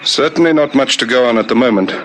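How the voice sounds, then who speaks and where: A male actor as a curt, courteous police lieutenant from an old radio detective serial. He is methodical and authoritative, with clipped questions in a medium-pitched voice. The old recording carries faint noise. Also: fast, a bit bright, close to an everyday voice. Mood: brisk, businesslike. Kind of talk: vintage radio detective drama acting.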